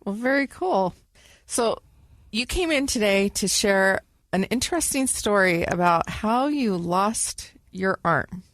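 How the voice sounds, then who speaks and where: A woman's voice: medium pitch, animated, takes it slowly, fairly bright tone, no room sound. Chatty woman co-host, dry close sound. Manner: upbeat and chatty. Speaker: podcast co-host. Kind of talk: casual interview talk.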